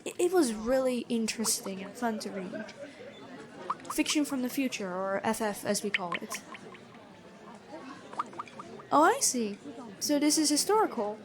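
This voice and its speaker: Woman acting a higher-voiced, gentle but stern prison guard. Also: close to an everyday voice. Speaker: ASMR voice actor. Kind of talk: ASMR roleplay.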